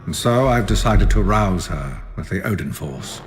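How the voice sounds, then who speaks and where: An actor playing a stern, weary old king of the gods and commanding father, speaking gravely and formally in a video game cutscene. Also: low voice, lively intonation, moderate pace. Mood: solemn. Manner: grave, authoritative, formal archaic phrasing.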